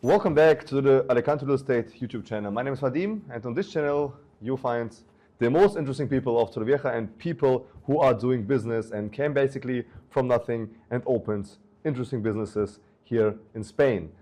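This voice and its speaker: Young male host, mellow tone, hesitant interviewer who restarts his questions. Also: medium pitch, a little fast. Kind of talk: interview hosting.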